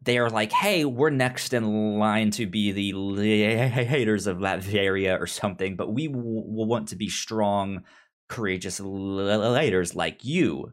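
A man co-hosting a podcast in casual discussion of comics, often repeating and restarting his words as he goes. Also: lowish voice. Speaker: podcast co-host.

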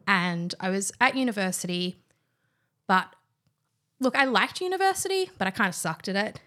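A woman's voice: medium pitch, animated, normal speed, bright, forceful tone. Chatty young woman. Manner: chatty, lots of fillers and restarts, giggly. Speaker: guest, wine trainer. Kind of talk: casual chat.

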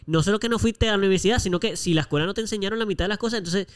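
A man's voice: sits high, rapid-fire, clean tone. A man with a Puerto Rican accent co-hosting a casual podcast, speaking informally and mixing English words into his Spanish. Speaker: podcast co-host.

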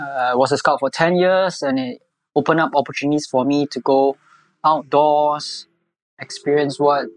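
Measured Singaporean man, dry close sound. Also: a little high, dry recording, low-quality recording. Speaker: podcast guest, environmental educator. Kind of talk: conversational interview answers.

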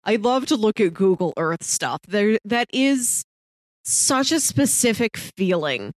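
Woman cohost just chatting on a review podcast, mid pitched voice, super dry sound no echo. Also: lively intonation, slow. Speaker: podcast co-host. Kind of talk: casual review chat.

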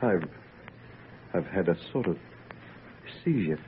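An actor in an old-time radio drama playing an obsessed young scientist, shaken yet proud, halting and repeating his phrases theatrically. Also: low voice, expressive. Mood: weak, shaken. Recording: tinny, noisy vintage radio recording.

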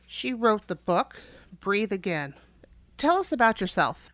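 A woman's voice: mid-range voice, sing-song, measured pace, fairly bright tone, dry recording. Dry-sounding woman radio interviewer. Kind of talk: scripted interview questions.

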